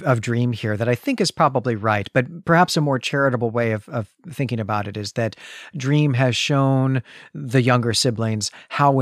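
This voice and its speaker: A man's voice: mid-range voice, dry recording. Thoughtful male podcast host, close dry sound. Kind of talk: literary discussion, conversational recap.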